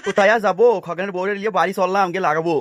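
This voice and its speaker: A man's voice: high voice, fairly even intonation, very fast, background noise, lightly acted. A man voicing a boorish, boastful and lecherous drunken village man in a cartoon. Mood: jovial, boastful. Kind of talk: cartoon dialogue. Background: music behind the voice.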